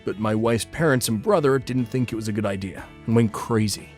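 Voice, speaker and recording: A man's voice: lowish voice, fairly quick, a little mellow, soft background music, natural voice. A man narrating a scripted first-person story as a married man who lost his job. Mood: matter-of-fact.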